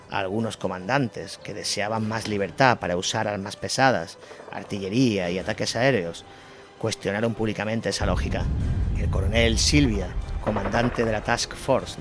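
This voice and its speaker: A man with a low voice narrates a scripted war chronicle. His telling is measured, and music plays clearly behind him.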